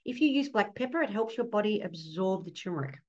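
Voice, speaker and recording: A woman's voice: mid-range voice, expressive, quick pace, darkish tone. A middle-aged Australian woman, a fertility doctor, speaking earnestly as a guest on a video call from her home office, often breaking into laughter. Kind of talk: casual interview on a video call. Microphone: laptop webcam mic on a video call. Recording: dull lo-fi video call audio.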